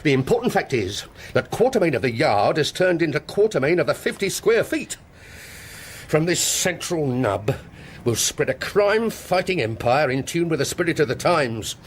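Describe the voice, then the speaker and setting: An actor playing a pompous, scheming former police detective with a British accent and a grandiose, imperious delivery in a radio drama. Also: male voice, fairly high pitch, very animated, moderate pace, slight background noise. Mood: grandiose, smug. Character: pompous scheming ex-police detective turned private art investigator.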